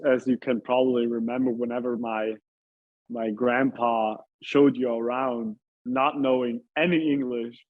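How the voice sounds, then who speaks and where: A man from Italy chatting casually as an interview guest, hesitant and repeating his phrases, with thin phone-like sound. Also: mid-range voice. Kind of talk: casual chat.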